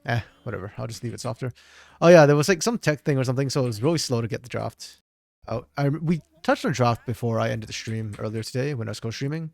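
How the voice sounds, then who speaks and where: Slightly hoarse man casting a match live. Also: mid-range voice, fast talker, a little mellow, no echo. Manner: casual, thinking aloud, jumps between tech trouble and play calls. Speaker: esports caster. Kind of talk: solo live game commentary.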